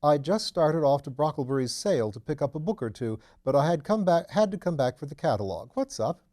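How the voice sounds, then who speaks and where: Gentleman detective for audiobook, played by a man: amiable, breezy, well-bred courtesy, pitched up, mellow. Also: lively intonation, a little fast, close to an everyday voice. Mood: breezy, courteous. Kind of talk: audiobook character dialogue.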